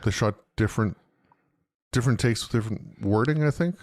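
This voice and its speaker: A man co-hosting a podcast, chatting casually with halting, repeated starts. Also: medium pitch, a little mellow, dry recording, studio quality. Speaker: podcast co-host. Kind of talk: casual panel chat.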